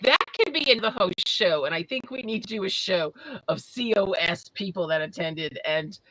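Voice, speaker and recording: A woman's voice: mid-range voice, animated, moderate pace, pushed and bright, dry recording, poor audio. A woman from Massachusetts with a mixed Northern Massachusetts and Southern New Hampshire accent with Scottish and English traces, co-hosting a casual live stream, reading chat aloud and laughing often.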